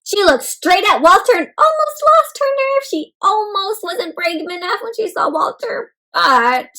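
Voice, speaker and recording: A woman's voice: very high-pitched, a little slow, poor audio. A woman reading a storybook aloud to young children, expressively and interactively, with encouraging questions in simple words. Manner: expressive, gasps and asks listeners questions. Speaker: read-aloud host.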